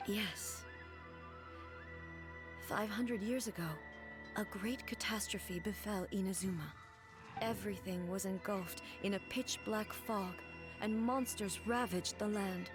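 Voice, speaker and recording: A woman playing a solemn, calm divine ruler in a video game cutscene, speaking in a measured, grave, soft voice. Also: mid-range voice, lightly acted.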